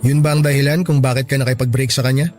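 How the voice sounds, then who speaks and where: Guy acting young filipino buddy in a radio drama, normal pitch, calm n earnest, talking sense to a friend. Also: fast, soft background music, natural voice. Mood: attentive, easygoing. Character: level-headed young male friend giving frank, supportive advice.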